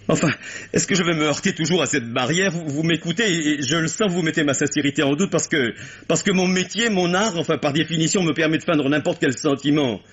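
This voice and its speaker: A man's voice: on the high side, breathy edge. A man playing a stage actor suspected of murder, who is agitated, bitter and sarcastic and breaks off mid-sentence, in a dull lo-fi old radio drama. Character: anguished male stage actor accused of murder. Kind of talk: 1950s French radio drama acting.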